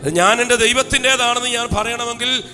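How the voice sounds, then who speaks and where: A man preaches with fervor in a very high, bright voice, urging his congregation to shout hallelujah. His words ring out in a long hall echo over faint music. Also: steady tone, normal speed. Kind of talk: fiery sermon with call-and-response.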